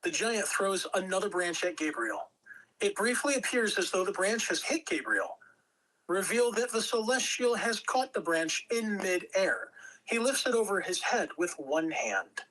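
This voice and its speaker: A man's voice: high-pitched, lively intonation, measured pace, bright, forceful tone, low-quality recording. A man reading stage directions as the narrator at a table read of a fantasy script.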